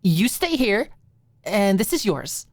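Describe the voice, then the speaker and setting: A woman voicing an excitable, gushing, easily flustered young woman in an audiobook. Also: medium pitch, takes it slowly, no echo, voice pushed up. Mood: excited. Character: bubbly, eager, self-doubting young woman hosting a sleepover. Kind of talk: audiobook character dialogue.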